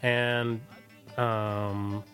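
A man's voice: lowish voice, little pitch movement, very slow, fairly bright tone. Middle-aged American man, hesitant, over music. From Washington, D.C., United States. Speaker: interview guest, musician and DJ. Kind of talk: casual chat. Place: radio studio.

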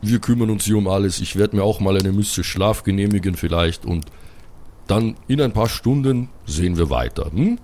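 A low-pitched, soothing Viking warrior, acted by a man in an improvised roleplay audio play. He is calm and patient, reassuring with measured words and a slightly huffy streak. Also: a little hiss or hum, natural voice.

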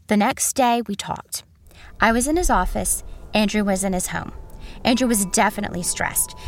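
A woman playing a haunted journalist, narrating a first-person horror story in a measured, suspenseful manner. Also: medium pitch, natural voice. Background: music.

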